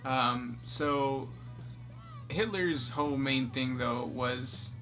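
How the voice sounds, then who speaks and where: A man's voice: medium pitch, extremely slow, a bit bright, faint music behind. A man co-hosting a casual chat, reading out fan questions with easygoing fillers. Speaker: podcast co-host reading questions.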